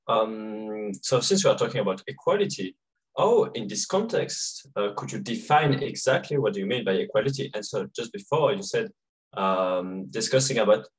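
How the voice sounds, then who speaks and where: A man's voice: lowish voice, poor audio. A young man from Belgium, a PhD student on a video-call panel, speaking hesitantly while searching for words. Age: young adult.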